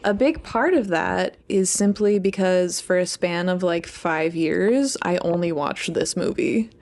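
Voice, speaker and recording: Casual young woman with creaky voice. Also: lowish voice, unhurried, a little mellow. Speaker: podcast co-host, English PhD student. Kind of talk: casual chat.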